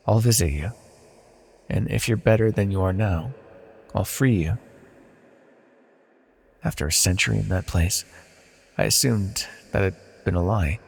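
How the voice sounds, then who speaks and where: A man playing an ancient banished villain deity in a fantasy audio roleplay, proud yet wistful and tender, speaking in a formal, measured, confessional way. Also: lowish voice, gravelly, breathy edge, full character voice. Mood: regretful, tender. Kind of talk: scripted fantasy audio roleplay, intimate monologue. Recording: long reverberant hall echo.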